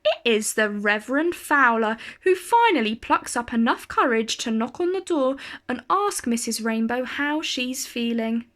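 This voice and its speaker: A woman reading a children's picture book aloud as a storyteller, with deliberate phrasing and dramatic emphasis. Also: a little high, sing-song, fairly bright tone.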